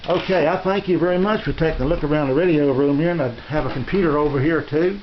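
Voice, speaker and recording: An American man giving a casual, unscripted tour of his radio room in a halting, rambling, folksy and polite way. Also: fairly high pitch. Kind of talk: casual unscripted show-and-tell narration. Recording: dull lo-fi home video, faint noise.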